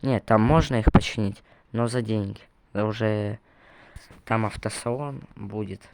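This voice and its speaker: A man's voice: on the low side, a little slow, gravelly, darkish tone, smooth. A young man casually commenting on his gameplay, rambling and correcting himself as he talks to viewers, recovering from a cough. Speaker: gaming video creator.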